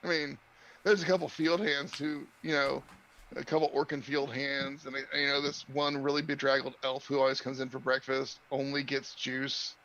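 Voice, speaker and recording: A man's voice: mid-range voice, flat delivery, moderate pace, fairly bright tone, highs cut off, a little hiss or hum. A man acting as game master, improvising narration and chatting with players at a tabletop game.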